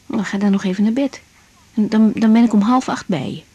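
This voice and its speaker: A young woman doctor, worn down by night work, as an actress plays her in a radio drama. Her voice is soft-edged and mellow, and she speaks intimately and plainly, as if confiding in a diary. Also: medium pitch, brisk, a little hiss or hum, lightly acted. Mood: tired, tender. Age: young adult. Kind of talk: naturalistic radio drama, diary-like monologue and dialogue.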